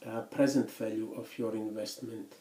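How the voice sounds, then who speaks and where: Man, non-native English speaker, university lecturer, halting delivery, echoey lecture room. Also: lowish voice, steady tone, dark tone. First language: not English; English is his third language after Swedish. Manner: halting, many restarts and "uh" pauses. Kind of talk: lecture to students. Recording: echoey classroom recording.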